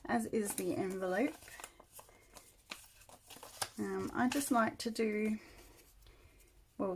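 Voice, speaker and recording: A woman getting over a cold, her voice still croaky, chatting casually as she works on a journal at her craft table. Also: medium pitch, noisy background. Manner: chatty, thinking aloud while working. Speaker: crafter demonstrating journal making.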